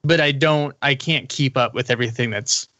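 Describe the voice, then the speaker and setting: Male podcast panelist, bright forward voice, very dry sound, informal gamer conversation. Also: mid-range voice, moderate pace, dry recording, dull audio. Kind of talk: casual gaming podcast chat.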